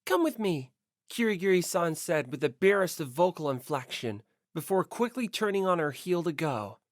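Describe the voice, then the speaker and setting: A woman's voice: low voice, animated, moderate pace, no room sound, studio quality. A woman narrating a scripted first-person story expressively as an audiobook reader.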